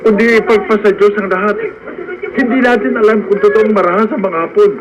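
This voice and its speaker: A man's voice: high-pitched, fairly even intonation, brisk, highs cut off, background noise, lightly acted. An actor playing a practical, cautious male farmhand companion with an urgent, exhorting manner in a radio drama.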